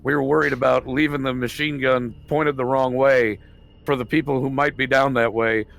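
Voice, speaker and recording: A man's voice: medium pitch, fairly even intonation, moderate pace, creaky, a bit bright. A man casually bantering as a tabletop game player, with a profane, opinionated, rambling manner.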